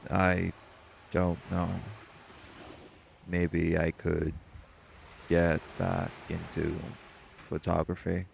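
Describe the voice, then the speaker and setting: Male actor as subdued shapeshifter boyfriend for audio roleplay, flat intonation, unhurried pace, hesitant with dry humour. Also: sits low, gravelly, slight background noise, full character voice. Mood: hesitant, vulnerable. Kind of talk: romantic audio roleplay acting.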